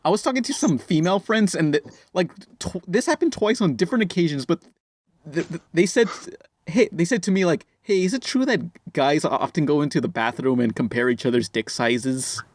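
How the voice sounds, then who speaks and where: A man's voice: on the high side, expressive, a little fast, dry recording. Rambling young man, dry close sound. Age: 20s. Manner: rambling, self-mocking, lots of restarts. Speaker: podcast host. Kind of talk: casual podcast banter.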